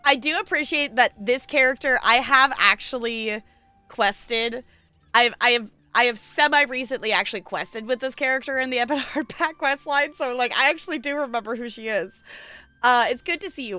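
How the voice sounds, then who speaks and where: A woman chatting casually and playfully while livestreaming a game, thinking out loud as she goes. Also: on the high side, lively intonation, normal speed, dry recording. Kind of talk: casual stream chat while playing, reading game dialogue aloud.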